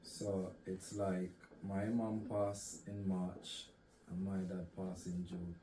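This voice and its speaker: A man hosting an interview, asking questions in an unhurried way with brief murmured replies. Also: sits low, flat delivery, noisy background. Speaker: podcast host. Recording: echoey room, distant mic.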